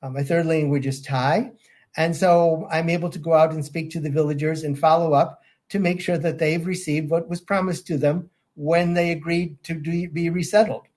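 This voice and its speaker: Older man with halting measured speech. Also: fairly high pitch, steady tone, darkish tone. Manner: measured, lots of ums and restarts. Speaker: guest, safeguards auditor. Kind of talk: interview answers.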